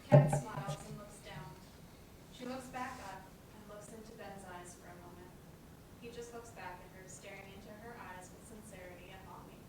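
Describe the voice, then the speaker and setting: Female stage-direction narrator, scripted read, heavy room echo and loud background noise. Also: mid-range voice, brisk. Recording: very echoey room, distant mic, loud noise.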